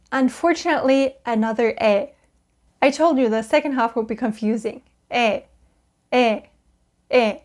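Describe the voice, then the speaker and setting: Cheerful German woman teaching vowels. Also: on the high side. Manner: cheerful, patient, drawn-out sounds for repetition. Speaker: Korean language teacher. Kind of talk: explaining Korean vowels to learners.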